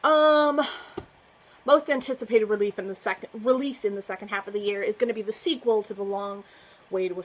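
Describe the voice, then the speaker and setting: A woman's voice: medium pitch, low-quality recording. A woman talking casually to camera as a book vlogger, chatty and earnest, often trailing off and restarting. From United States. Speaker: booktuber. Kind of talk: casual book tag vlog.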